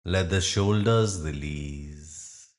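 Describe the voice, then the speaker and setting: Very slow, low-voiced male meditation guide. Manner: soothing, measured, deliberate phrasing with long pauses.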